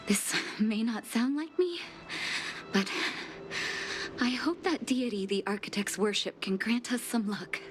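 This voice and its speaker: An actress voicing a composed, determined woman who is a doctor and leader, speaking in a measured, thoughtful way with soft sighs, with game music behind her. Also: on the high side. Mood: resolute. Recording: studio voice-over with game music.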